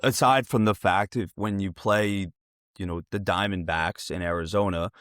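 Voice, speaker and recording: A man's voice: low voice, fairly even intonation, normal speed, fairly bright tone, no room sound, studio quality. A man in his twenties hosting a casual sports interview, talking in a rambling, digressive way. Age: 20s.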